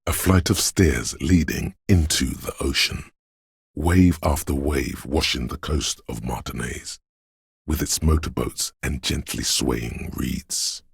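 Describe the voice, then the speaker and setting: A man voicing a brooding inner voice that senses a rainy city, narrating a video game in a slow, solemn, poetic and deliberate way with a gravelly, husky tone. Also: rumbling low, no room sound, clean studio sound, full character voice. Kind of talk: scripted atmospheric second-person narration.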